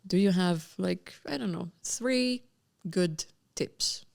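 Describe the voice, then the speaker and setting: Low, gravelly woman moderating a panel. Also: slow, a little mellow. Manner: thoughtful, rephrasing as she goes. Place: live event panel.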